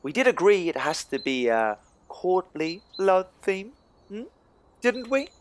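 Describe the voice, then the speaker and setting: A man playing an anxious, exasperated Tudor court lyricist in a comic audio drama, with theatrical, drawn-out emphasis. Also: sits high, big pitch swings. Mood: urging.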